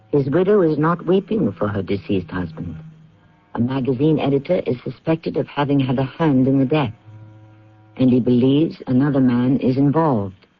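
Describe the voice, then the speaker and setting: A man hosting and narrating a scripted radio mystery in a measured, literate, theatrical manner. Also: medium pitch, animated, breathy edge. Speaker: host and narrator. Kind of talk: scripted radio drama introduction. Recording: dull lo-fi radio recording.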